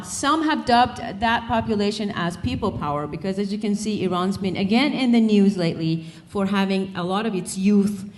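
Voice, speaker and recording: Iranian-born woman giving a friendly talk. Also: mid-range voice. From Iran. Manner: friendly, jokes with the audience, many "uh" fillers. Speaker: conference speaker. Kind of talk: public talk with slides. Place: college conference hall. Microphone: handheld microphone.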